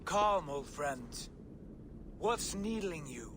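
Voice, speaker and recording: Tyr, resolute loyal war god. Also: male voice, a little high, sing-song, extremely slow, noisy background, full character voice. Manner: earnest, resolute. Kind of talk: video game cutscene acting.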